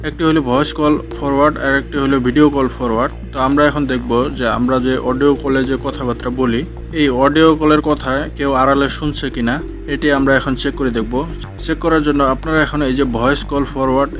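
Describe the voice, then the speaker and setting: Fast-talking Bangladeshi man giving a tutorial. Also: mid-range voice, steady tone, fairly bright tone. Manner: instructional, repetitive step-by-step phrasing. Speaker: tech tutorial host. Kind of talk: step-by-step screen tutorial narration. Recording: dull lo-fi voice-over with music behind. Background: clear background music.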